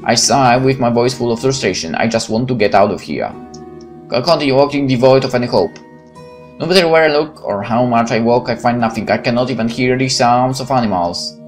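A man reading a visual novel aloud in a theatrical, expressive manner, with spoken asides. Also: mid-range voice, a little fast. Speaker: gaming channel narrator. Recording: music behind the voice.

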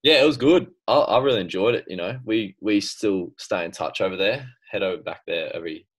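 A man's voice: fairly low pitch, brisk, breathy edge. A young Australian man on a video call from his bedroom, chatting casually with lots of fillers and easy laughs. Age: young adult. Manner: laid-back, laughs often, trails off with fillers. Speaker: guest swimmer. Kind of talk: casual chat. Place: bedroom at home. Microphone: laptop webcam on video call.

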